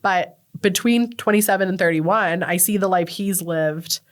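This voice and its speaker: A woman's voice: lowish voice, measured pace. A Canadian woman in her thirties, a podcast guest chatting casually, laughing often and using lots of fillers. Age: 30s. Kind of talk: casual interview chat.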